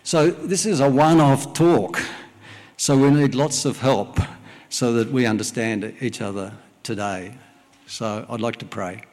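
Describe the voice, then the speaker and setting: A middle-aged man from Wales, a native Welsh speaker, preaching a sermon and praying in a church service, speaking plainly with frequent "uh" pauses. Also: mid-range voice, darkish tone. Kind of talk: sermon with prayer. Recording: long hall reverb.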